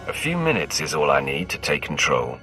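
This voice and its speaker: A man's voice: very deep voice, huge pitch swings, fairly quick, sharp tone, faint music behind, full character voice. A man playing an arrogant, menacing young Sith warrior in a video game, curt and haughty. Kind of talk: video game cutscene acting.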